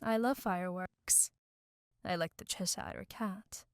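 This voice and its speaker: A woman's voice: on the low side, slow pace, smooth, no room sound, clean studio sound. A woman voicing a teasing, flirtatious cat burglar.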